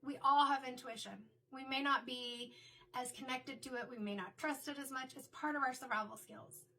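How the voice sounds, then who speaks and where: A middle-aged woman, a card reader and medium, talking in a chatty, reflective way, her voice a little raspy from illness. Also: sits high, moderate pace, bright, forceful tone, recorded from a distance. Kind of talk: casual spoken tarot reading intro.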